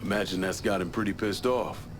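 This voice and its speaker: Game lead: actor as tough American criminal boss, male, deep register, low-key, clear noise behind. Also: brisk, lightly acted. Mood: cool, wry. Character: tough, terse vengeful criminal leader. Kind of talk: video game cutscene acting.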